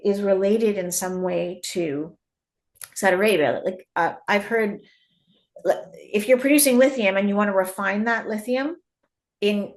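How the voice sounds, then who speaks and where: Canadian-born woman, halting explanatory talk. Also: mid-range voice. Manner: frequent restarts and repeated words, thinking aloud. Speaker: guest, mining industry expert. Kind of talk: conversational interview.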